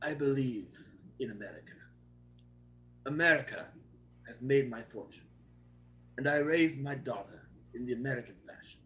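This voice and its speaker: An actor playing an aggrieved Italian immigrant father in a screenplay table read, halting and emotional as he pleads. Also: medium pitch, expressive, pushed and bright, rough recording. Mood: subdued grief.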